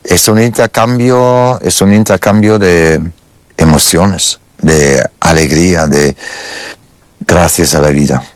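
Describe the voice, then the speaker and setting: Man, very low voice, unhurried, halting, choreographer being interviewed, dry close sound. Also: no echo. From Germany. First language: German. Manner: slow, halting, with pauses and repeated words. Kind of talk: interview answers.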